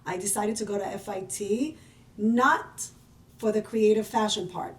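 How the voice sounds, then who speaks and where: A woman's voice: mid-range voice, unhurried. A woman from Israel, a podcast guest, talking in a chatty, self-deprecating way and laughing easily. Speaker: podcast guest, business owner. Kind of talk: casual conversational interview. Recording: roomy and distant from the mic.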